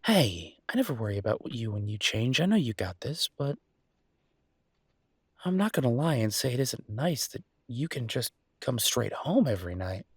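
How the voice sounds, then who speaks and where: A man playing an affectionate, slightly grumbling chef boyfriend in an intimate audio roleplay, with a husky voice and a casual, teasing, halting way of talking. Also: mid-range voice, sing-song, no room sound, clean studio sound. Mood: tender, reassuring.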